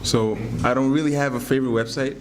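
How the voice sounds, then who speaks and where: A man whose first language is Pular, an English teacher answering student questions in a relaxed, thinking-aloud way. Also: mid-range voice, fast talker, crowd noise.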